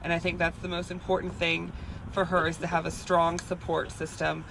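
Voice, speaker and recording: A woman with a soft, feminine voice giving an earnest, hesitant speech at an outdoor rally, with frequent ums. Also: lowish voice, little pitch movement, normal speed, creaky. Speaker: rally speaker. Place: outdoors at a rally near roadway. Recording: outdoor rally with traffic noise. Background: passing cars.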